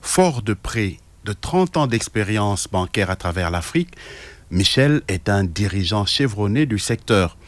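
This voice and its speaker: A man's voice: fairly low pitch, expressive, a little slow. A man hosting a radio interview, with frequent hesitations. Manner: hesitant, many filler sounds. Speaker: radio interviewer, show host.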